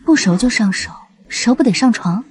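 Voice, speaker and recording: Teasing, high-voiced young society woman an actress's role. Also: lively intonation, moderate pace, natural voice, rough recording. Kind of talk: dramatized dialogue in an animated web drama.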